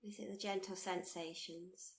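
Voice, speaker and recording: A woman teaching guided meditation, speaking in a soothing, gently encouraging, unhurried way with repeated phrases. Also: fairly low pitch, fairly even intonation, a little hiss or hum. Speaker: meditation teacher leading a body scan. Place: live workshop.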